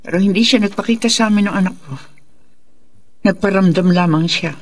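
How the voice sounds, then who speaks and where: Actress as a solemn, resolute faith healer. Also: female voice, mid-range voice, slight background noise, close to an everyday voice. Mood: grave, commanding. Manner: solemn, deliberate, authoritative. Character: resolute faith healer mother battling evil spirits. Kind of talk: Filipino horror radio drama acting.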